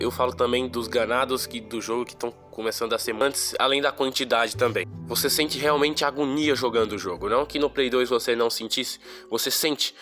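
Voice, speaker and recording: A man's voice: medium pitch, a bit bright. A young man with a Brazilian accent, nasal and stuffed up from a cold, giving a slangy, rambling casual review of a game on his channel. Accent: Brazilian Portuguese. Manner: slangy, chatty, rambling asides. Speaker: gaming YouTuber.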